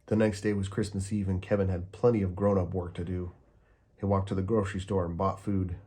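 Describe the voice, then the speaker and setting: A man reading a children's picture book aloud with calm, steady storytelling. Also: sits low, fairly quick, breathy edge. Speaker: storybook reader.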